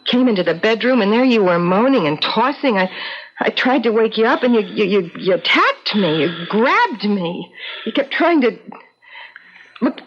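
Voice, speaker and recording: A woman's voice: medium pitch, sing-song, normal speed. A woman playing a brash, restless young American woman in a radio drama, with a breezy colloquial manner. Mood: shaken, scolding. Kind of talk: 1970s radio drama acting. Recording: muffled old radio broadcast, music underneath.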